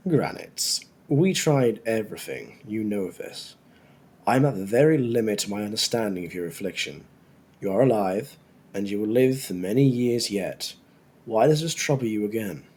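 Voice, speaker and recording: A man playing a cursed tiger-man scholar in an audiobook, dry and world-weary, with formal, measured wording and a husky voice. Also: lowish voice, lively intonation, darkish tone. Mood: grave, measured. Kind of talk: audiobook character dialogue.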